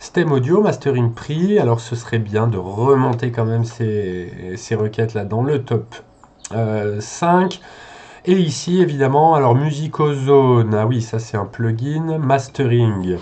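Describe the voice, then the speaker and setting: A man's voice: medium pitch, animated, measured pace, mellow. A man giving an unscripted screen-share commentary as a consultant, thinking aloud in a conversational, hesitant way with frequent check-in tags. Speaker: SEO consultant presenting a website audit.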